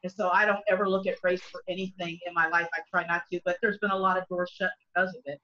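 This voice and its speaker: Middle-aged country singer lady, bright voice, keeps restarting her sentences, muffled laptop mic. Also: fairly low pitch, level tone, quick pace. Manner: earnest, rambling with many restarts. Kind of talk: casual video call discussion. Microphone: laptop webcam mic on a video call. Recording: dull lo-fi video call audio.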